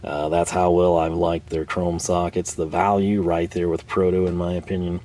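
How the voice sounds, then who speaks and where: A man hosting a tool video in his workshop, chatting casually and rambling with fillers, his voice a bit hoarse from a cold. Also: sits low, flat delivery, moderate pace, dark tone. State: slightly hoarse, losing his voice. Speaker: tool channel host. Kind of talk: casual show-and-tell talk. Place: home workshop.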